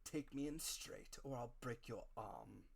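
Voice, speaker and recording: Sing-song, menacing old blind beggar. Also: male voice, medium pitch, breathy edge. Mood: menacing, commanding. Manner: odd drawn-out sing-song. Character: dreadful cruel old blind beggar, wheedling then menacing.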